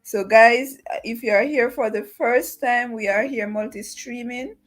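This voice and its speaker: A woman's voice: a little high, measured pace. A woman hosting a livestream, welcoming a guest and making announcements to viewers. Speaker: livestream host.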